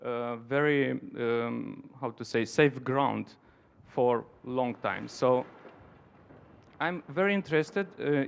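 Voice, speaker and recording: A man's voice: medium pitch, creaky, clean tone, muffled recording. A man from Romania with a Romanian accent, speaking non-native English in an informal conference talk, searching for his words as he goes. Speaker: dance centre founder, conference participant.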